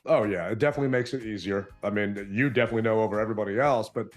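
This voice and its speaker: A man co-hosting a podcast, telling an animated story and acting out his side of an argument in casual chat. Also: fairly low pitch, very fast, faint music behind. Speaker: podcast co-host.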